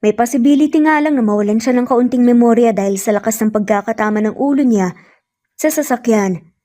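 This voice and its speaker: A calm hospital doctor in an audio romance, voiced by a woman. The voice is fairly high and soft-toned, explaining things in a reassuring manner. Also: brisk, close to an everyday voice. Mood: calm reassurance.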